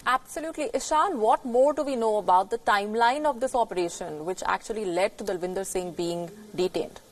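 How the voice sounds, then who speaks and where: Female news anchor, mid-range pitch, scripted broadcast delivery. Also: fairly quick, slight background noise.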